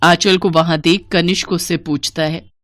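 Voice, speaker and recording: A woman's voice: lowish voice, fairly even intonation, moderate pace, fairly bright tone, dry recording. A woman narrating a scripted family story in a dramatic storytelling style.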